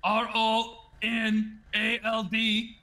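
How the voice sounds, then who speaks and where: Joking man with a put-on Austrian accent. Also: high-pitched. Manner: joking, deadpan, spells out names slowly. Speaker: roleplayer in a police roleplay server. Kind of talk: in-character improv with a put-on Austrian bodybuilder accent.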